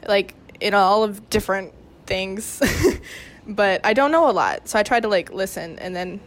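A woman's voice: mid-range voice, unhurried. A young woman in her late teens speaking casually and candidly as a guest, earnest, with lots of "like" in her sentences. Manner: earnest, heavy use of "like". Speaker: student cast member, podcast guest.

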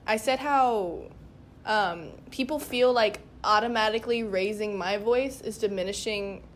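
A woman's voice: mid-range voice, a little slow, a little hiss or hum. A teenage girl chatting casually and emphatically as a cast member, rambling as she goes. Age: teens. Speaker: young cast member of a play. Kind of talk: casual chat.

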